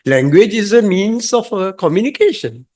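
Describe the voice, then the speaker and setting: A young adult man from Singapore, a monk, making an earnest, emphatic comment from the audience at a talk, halting with fillers. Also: high voice, expressive, darkish tone. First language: English. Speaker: monk asking a question from the audience.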